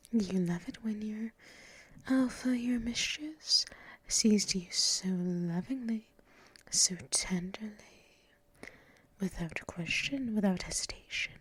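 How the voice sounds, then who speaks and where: A woman playing a doting, teasing, gently dominant mistress in an ASMR roleplay, breathy and mostly whispered, cooing close to the microphone. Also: a little high, no echo. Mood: amused, doting. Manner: slow, cooing, teasing, drawn-out pauses and hums. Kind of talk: unscripted ASMR roleplay, addressed to the listener.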